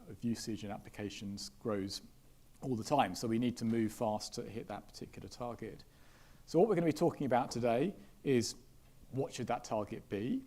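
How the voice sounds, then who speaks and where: Man moderating a conference panel with a mellow voice. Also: mid-range voice. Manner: measured, with frequent fillers and restarts. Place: conference hall with live audience.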